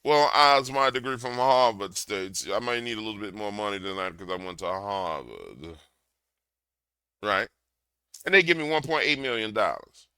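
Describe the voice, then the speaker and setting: A man with a big booming voice doing a slangy, heated reaction rant into a desk microphone. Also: animated, normal speed, clear, resonant tone, no echo, studio quality. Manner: loud, slangy, repetitive, heated. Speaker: commentator reacting to a clip. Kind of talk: ranting reaction commentary.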